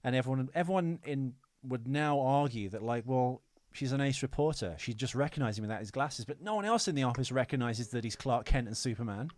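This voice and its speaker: A man's voice: medium pitch, animated, a little fast, few pauses, darkish tone, dry recording. A man co-hosting a podcast in casual, opinionated film chat, ranting emphatically and swearing freely. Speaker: podcast co-host.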